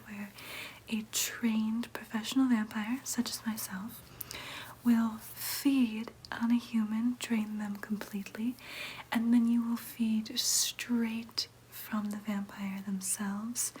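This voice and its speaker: A gentle vampire nurse played by a woman whispers softly and breathily in an ASMR roleplay. The voice is on the high side, careful and reassuring as it explains things to a patient. Clear background noise sits behind the whisper. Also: low-quality recording. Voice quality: soft, breathy unvoiced whisper. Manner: soothing, explanatory, slow and careful.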